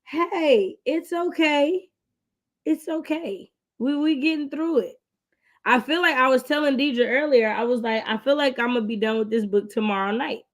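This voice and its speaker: Animated American woman, higher-pitched voice. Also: moderate pace. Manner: animated, colloquial, emphatic. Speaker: host of a book readalong. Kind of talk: casual livestream chat.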